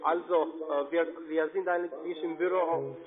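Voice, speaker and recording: A man with a fairly high voice and a foreign accent talks over a tinny phone line. He works through a call center sales pitch haltingly, often repeating himself. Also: steady tone, a crowd in the background. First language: not German. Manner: hesitant, repetitive. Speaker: call center investment agent.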